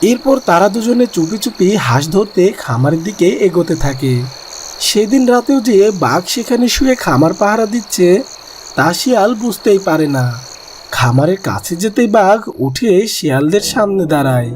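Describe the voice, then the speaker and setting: A man's voice: high voice, very animated, moderate pace, darkish tone, slight background noise. A man narrating a scripted animal cartoon story as the storyteller.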